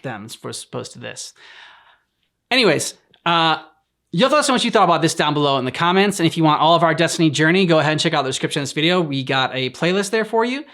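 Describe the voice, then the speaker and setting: A man's voice: a little high, expressive, fast talker, fairly bright tone. A man co-hosting a reaction show, giving casual commentary in a hesitant, meandering, conversational way. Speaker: co-host of a reaction channel. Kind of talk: casual chat, commentary on game cutscenes.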